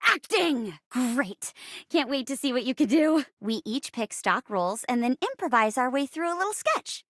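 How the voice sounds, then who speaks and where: Game character, an animated stage-struck female chair: high, bright, eager and dramatic. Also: normal speed, no echo, clean studio sound, full character voice. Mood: bubbly, eager. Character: perky theatrical living chair, eager young stage actress.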